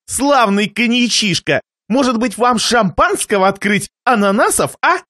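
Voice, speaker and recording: A man's voice: very high voice, expressive, unhurried, sharp tone, no echo, clean studio sound, pitched above the performer's own voice, heavily acted. A man voicing a miserly, suffering merchant host in a satirical story, fawning with plaintive, forced politeness while seething underneath. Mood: strained, seething. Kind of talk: dramatised reading of a satirical short story.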